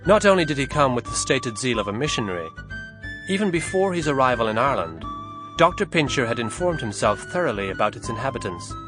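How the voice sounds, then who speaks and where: A man narrating a historical novel as an audiobook, in a measured, expressive storytelling manner. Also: medium pitch, music in the background.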